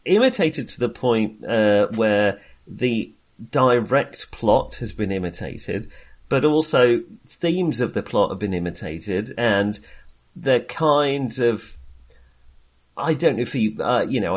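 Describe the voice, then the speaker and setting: Guy on a film podcast, rambles and second-guesses himself, really up and down pitch. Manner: rambling, halting, self-deprecating. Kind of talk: casual film discussion.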